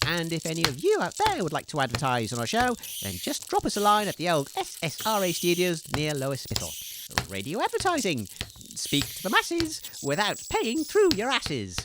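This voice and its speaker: A woman's voice: fairly low pitch, huge pitch swings, moderate pace, background noise. A woman playing a flustered, affable radio co-host and quizmaster in an audio comedy. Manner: hesitant, flustered, appeasing. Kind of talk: scripted audio comedy hosting.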